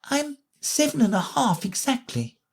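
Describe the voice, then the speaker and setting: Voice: high-pitched, huge pitch swings, a little slow, slightly rough, voice pushed up, exaggerated voice. A reader voicing a polite, earnest young girl of seven for a storybook. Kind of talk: storybook reading.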